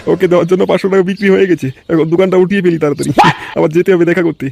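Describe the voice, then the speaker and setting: A man's voice: high-pitched, steady tone, very fast, creaky, music in the background. A man voicing a grumbling, foul-mouthed comedy cartoon man, given to blustering exclamations. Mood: grumbling, irritated.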